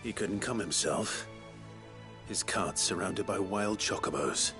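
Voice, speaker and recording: An actor playing a terse, determined young soldier in a video game, with a low gravelly voice. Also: male voice, a little slow. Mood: guarded. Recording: loud game music behind voice.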